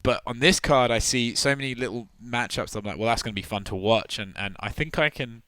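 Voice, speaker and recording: A man's voice: medium pitch, fairly quick, bright, forceful tone, smooth, dry recording. A tipsy man chatting loosely as a podcast guest, laughing often and swearing freely. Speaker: podcast guest, media personality. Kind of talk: casual podcast chat.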